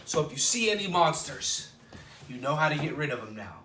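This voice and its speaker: A man hosting a children's storytime, reading a picture book aloud in an expressive, playful way with drawn-out dramatic words. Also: fairly high pitch, slight background noise. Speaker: children's storytime host at a library. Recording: roomy echo, distant microphone.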